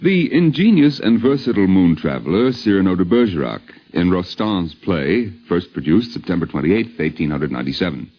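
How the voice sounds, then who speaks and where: A man's voice: low voice, very animated, moderate pace, hardly any pauses, lo-fi sound, soft background music. A man reading scripted radio documentary narration in a literate, formal, theatrical way, on a 1950s radio recording.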